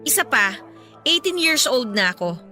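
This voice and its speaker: A woman's voice: sits high, big pitch swings, normal speed, pushed and bright, full character voice. A Filipino woman playing a hurt, defiant eighteen-year-old daughter in a radio drama, curt and reproachful. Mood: cold, sarcastic. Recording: music bed behind the voice.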